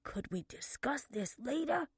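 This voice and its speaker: A woman narrator voicing a stubborn, combative younger woman under arrest, arguing forcefully in an audiobook. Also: medium pitch, lively intonation, measured pace, no echo, clean studio sound. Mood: strained, hushed. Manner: forceful, argumentative, emphatic delivery.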